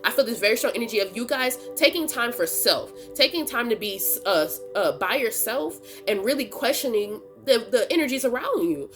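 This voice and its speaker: A woman's voice: on the high side, animated, fairly quick, few pauses, music in the background. Stuffy-nosed woman, chatty tarot reading, slangy, laughs a lot. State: stuffy nose from a cold. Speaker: tarot reader and channel host. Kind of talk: casual tarot reading chat.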